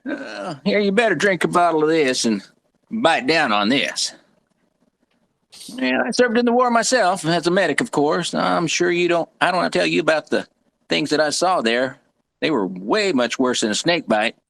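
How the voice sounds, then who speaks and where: A man playing a folksy, wry frontier doctor and former army medic, gravelly and drawling, in a table read of a western. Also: on the high side, expressive, normal speed, fairly bright tone. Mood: wry, matter-of-fact.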